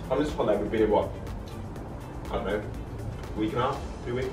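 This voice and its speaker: A young man trades dry, teasing banter from across an echoey room, well away from the mic. Music runs clearly behind him. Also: lowish voice, fairly quick, far from the mic. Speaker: vlogger's partner.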